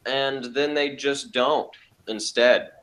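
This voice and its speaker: A man's voice: medium pitch, steady tone, takes it slowly, fairly bright tone. A man giving blunt, dismissive opinions in a casual discussion.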